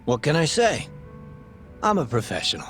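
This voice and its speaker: An actor playing a sly, shameless crooked cop who talks in a casual, glib way in a video game scene. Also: male voice, fairly high pitch, very animated, moderate pace, a bit bright, faint music behind. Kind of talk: video game cutscene acting.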